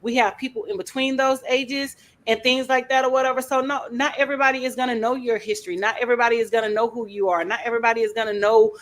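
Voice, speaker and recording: An older woman with a Louisiana accent speaks in a fairly high, nasal voice. She is a radio talk show co-host who states her opinions emphatically and keeps circling back to the same point. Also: fairly even intonation, quick pace, hardly any pauses. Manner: emphatic, opinionated, repeats "things like that or whatever".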